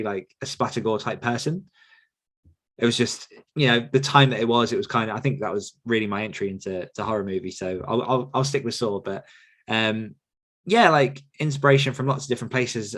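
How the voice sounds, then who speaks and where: A man, hosting a podcast and answering listener questions in casual, rambling chat. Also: mid-range voice. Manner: relaxed, rambling with fillers and restarts, laughs often.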